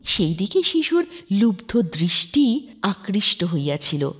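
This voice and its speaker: A woman narrating a literary story in a measured, expressive, formal manner. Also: mid-range voice, slightly rough. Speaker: storyteller reading a literary short story aloud. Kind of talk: scripted narration, expressive audiobook reading.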